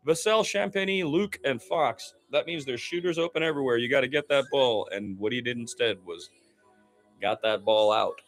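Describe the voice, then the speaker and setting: A man's voice: medium pitch, fairly bright tone. A man giving informal basketball play-by-play commentary as an announcer, with chatty asides between calls.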